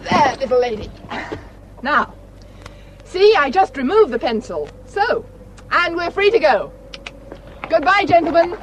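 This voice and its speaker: An actress playing a brisk, forthright woman country doctor in a radio play. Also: sits high, big pitch swings, noisy background. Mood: breezy, fond. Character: brisk country doctor, fond of her pony.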